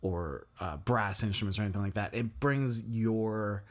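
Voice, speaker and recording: A man hosting a music podcast, chatting casually with a guest and often stalling on fillers and restarts. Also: lowish voice. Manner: rambling, halting with many fillers and restarts. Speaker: podcast host. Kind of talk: casual interview chat.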